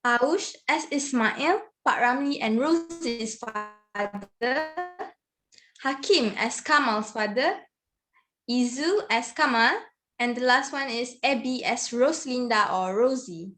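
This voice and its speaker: Fairly high-voiced woman hosting. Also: expressive, takes it slowly, fairly bright tone, soft background music. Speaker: event host. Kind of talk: presenting an online event. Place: online video call.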